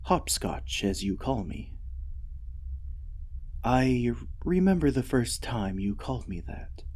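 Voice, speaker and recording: A man voicing a gentle, devoted mech AI in a soft, soothing, earnest ASMR roleplay. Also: on the low side. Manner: soothing, earnest, hesitant, often dropping to a whisper. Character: gentle, devoted mech artificial intelligence comforting its pilot.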